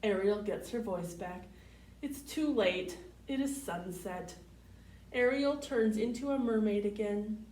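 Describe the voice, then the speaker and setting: A woman's voice: medium pitch, takes it slowly, darkish tone. A woman hosting a children's storytime, reading picture books aloud expressively and putting simple questions to young listeners. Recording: roomy, distant mic, faint background noise.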